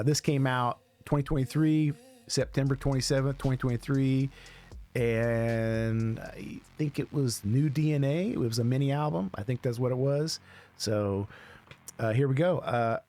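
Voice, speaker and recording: A man hosting a music reaction show, talking off the cuff in a halting, rambling way and often repeating himself. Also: medium pitch, a little mellow. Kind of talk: casual off-the-cuff reaction commentary.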